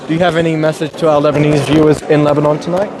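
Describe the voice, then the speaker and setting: A man interviewing guests at a gala dinner, friendly and chatty, quick to agree. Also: a little high, level tone, very noisy. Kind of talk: upbeat event interview. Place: crowded hotel function room. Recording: loud crowd chatter.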